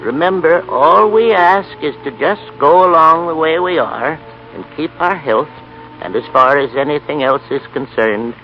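An actor playing an easygoing, plain-spoken old grandfather in a folksy 1930s radio style, with a gravelly voice. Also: a little high, normal speed, close to an everyday voice. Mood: humble, content. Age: older. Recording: muffled 1930s radio broadcast, faint music.

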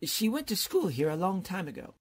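An actor playing an eager, flattering, bumbling male professor in a theatrical old-time radio comedy style. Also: medium pitch, brisk, husky. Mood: eager, scheming. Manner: theatrical, effusive, hesitant fillers.

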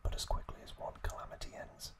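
A man hosting ASMR, narrating in a hushed whisper with drawn-out phrasing, close to the microphone. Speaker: ASMR host.

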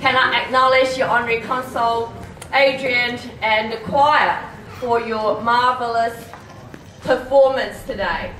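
A woman's voice: on the high side, fairly even intonation, fairly bright tone, slight background noise. A middle-aged woman whose first language is not English gives a formal, earnest speech at a ceremony, with a few hesitations. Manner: measured, earnest, with some hesitations. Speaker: politician giving a speech. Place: community club hall on a stage. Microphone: handheld mic, far camera. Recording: echoey hall from far off.

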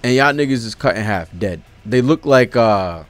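A man in his thirties with a mid-pitched, very lively voice reacts live as a streamer. He talks in casual, sweary slang and slips into reading aloud. He is close on a desk microphone, with faint music underneath. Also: normal speed. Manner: animated, slangy, profane. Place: small indoor streaming setup. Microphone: close desk-arm microphone.

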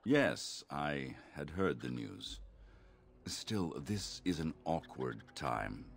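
A man playing a stern old elf village elder in a fantasy video game, speaking formally and with measured care. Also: sing-song, heavily acted. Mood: stern concern. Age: older. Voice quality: deep, gravelly.

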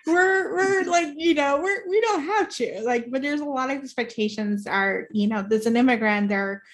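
A woman's voice: high voice, moderate pace. A woman from Puerto Rico hosting a book podcast, chatting casually with her guest and using lots of "like" and "you know". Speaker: podcast host. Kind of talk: casual interview chat.

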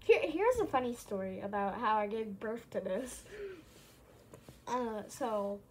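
A woman's voice: on the high side, expressive, unhurried, a little mellow, rough recording. A young female vlogger chatting casually and hesitantly, often repeating her phrases, recorded in a room at home. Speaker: vlogger showing a plush toy collection. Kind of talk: casual rambling chat.

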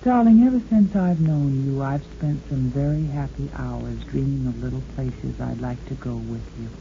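A woman playing a sultry, devoted sweetheart in a 1950s radio monologue, low-voiced, speaking intimately and seductively to the listener. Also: normal speed, gravelly, warm tone. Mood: dreamy, romantic. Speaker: radio show host. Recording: tinny, noisy 1950s radio recording.

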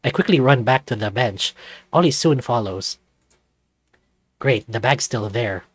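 A man's voice: medium pitch, expressive, unhurried, no room sound. Man narrating a story, dry close sound. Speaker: let's-play narrator. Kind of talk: scripted visual novel narration read aloud.

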